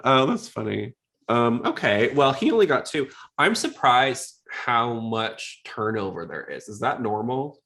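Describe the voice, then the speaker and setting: A man's voice: medium pitch, big pitch swings, a little slow, pushed and bright. A man hosting a podcast in a casual, inquisitive, conversational way. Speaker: podcast host.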